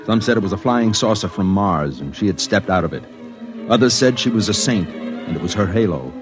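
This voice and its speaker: An actor as a deep-voiced reporter narrating over music. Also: male voice, animated, fairly quick, close to an everyday voice. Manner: plain, matter-of-fact storytelling. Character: persistent male newspaper reporter narrating. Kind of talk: 1950s radio drama narration. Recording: old radio broadcast with music bed.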